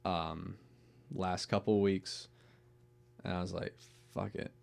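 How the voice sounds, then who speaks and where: A young man with a deep voice chatting casually as a podcast guest, rambling with restarts and swearing. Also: level tone, slow, vocal fry, a little mellow. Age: young adult. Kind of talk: casual chat.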